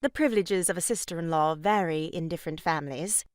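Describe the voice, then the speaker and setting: A woman's voice: fairly low pitch, fast, no echo, studio quality, lightly acted. A woman playing an arch, mock-pious young sister-in-law in a comic stage farce, scolding in a grand theatrical way. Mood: arch, teasing.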